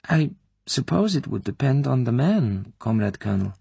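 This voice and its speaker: A man's voice: medium pitch, very animated, moderate pace, gravelly, mellow, slightly rough, dry recording, pitched above the performer's own voice, full character voice. A man voicing a frightened young female Soviet corporal who answers in a timid, hesitant, obedient way.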